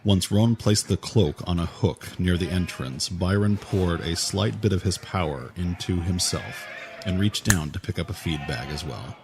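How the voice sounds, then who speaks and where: Very low-pitched male audiobook narrator. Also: moderate pace, background noise. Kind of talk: scripted audiobook narration of fantasy fiction. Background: music.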